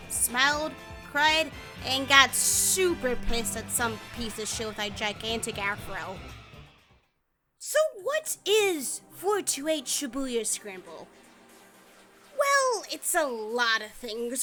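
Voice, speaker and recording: A woman's voice: sits high, pushed and bright. A young American woman giving a scripted but casual game review in an emphatic, sarcastic, sweary way, as an online reviewer. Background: background music.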